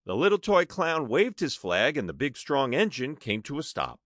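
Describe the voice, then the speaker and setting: Male narrator, children's storybook, very animated intonation, dramatic emphasis, dry studio-like sound. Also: mid-range voice, normal speed, no echo.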